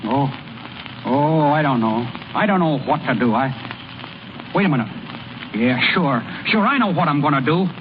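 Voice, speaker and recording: A man's voice: on the high side, lively intonation, natural voice. An actor playing a plainspoken small-town American ballplayer and delivery man in old-time radio style, with a folksy, slangy way of talking. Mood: dejected. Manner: folksy, slangy, halting when shy. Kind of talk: 1930s-40s American radio acting. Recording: muffled, noisy old radio broadcast.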